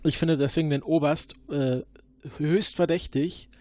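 A man improvising questions and deductions in a role-play investigation, haltingly repeating words as he thinks. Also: a little high, fairly even intonation, darkish tone, no room sound. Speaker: player questioning suspects in an investigation game.

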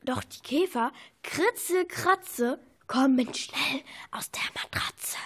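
A woman's voice: high voice, expressive, slow pace, a bit bright, whispered, full character voice. A woman playing a mischievous bookworm from a picture book in a children's radio play, declaiming with relish. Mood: reciting with relish. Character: cheeky prankster bookworm from a classic picture book.

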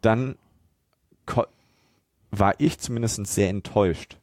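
A man's voice: fairly low pitch, slow, darkish tone, no echo, clean studio sound. A man moderating a podcast in a casual conversational style.

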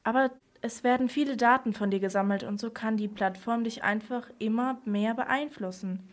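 A woman's voice: a little high, normal speed. A woman presenting on a children's radio programme, explaining things in scripted dialogue.

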